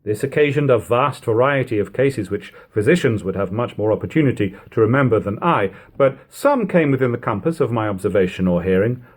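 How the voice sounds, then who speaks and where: Man, literary narration, husky tone, animated swooping pitch, formal emphatic phrasing. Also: fairly quick, few pauses. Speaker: audiobook reader. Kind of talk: expressive literary reading aloud.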